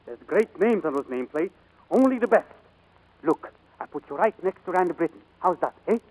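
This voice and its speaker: A man's voice: sits high, sing-song, a little fast, darkish tone, rough recording. An actor playing an effusive, chummy male restaurant proprietor in a 1940s radio drama, on a vintage broadcast recording. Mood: chummy, admiring.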